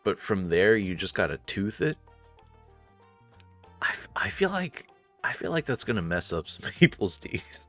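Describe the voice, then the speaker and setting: A man with a very low voice narrates a visual novel. He is animated and theatrical, playful in his delivery, with light music behind him. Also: creaky. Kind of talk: expressive story narration with casual asides.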